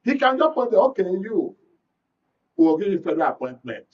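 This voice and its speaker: A Nigerian-accented man with a fairly high voice moderates a political talk show. He sounds a little distant from the mic, and the audio is dull. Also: very animated, fairly quick. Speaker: host.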